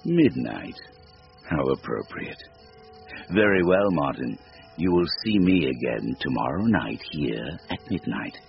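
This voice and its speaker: An actor playing a sinister supernatural bargainer in a radio drama, gravelly, with a deliberate, formal, taunting delivery. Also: male voice, very deep voice, sing-song, soft background music, exaggerated voice. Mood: commanding, taunting. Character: sinister supernatural stranger, silky, devilish bargainer, bored and mocking. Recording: noisy, muffled radio drama recording.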